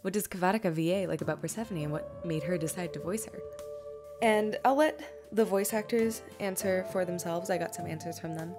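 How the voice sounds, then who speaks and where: A woman's voice: fairly low pitch, a little fast. A woman hosting a question-and-answer video, talking informally and reading out questions from viewers. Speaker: host and fan comic-dub creator. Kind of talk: scripted-loose Q&A answers to viewers. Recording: music behind the voice. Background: background music.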